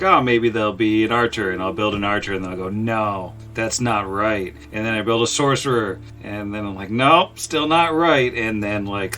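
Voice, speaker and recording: A man's voice: fairly low pitch, fairly bright tone. A man chatting casually and jokingly on a podcast, rambling in short bursts and acting out his own asides. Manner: halting, filler-heavy rambling with acted-out asides. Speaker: podcast panelist.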